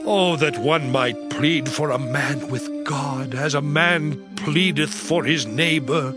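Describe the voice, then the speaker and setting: An actor playing an anguished, suffering man who laments bitterly in a slow, impassioned, theatrical dramatized Bible reading. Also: on the high side. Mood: pleading, sorrowful. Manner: slow, drawn-out, impassioned declaiming. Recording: loud background music. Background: music.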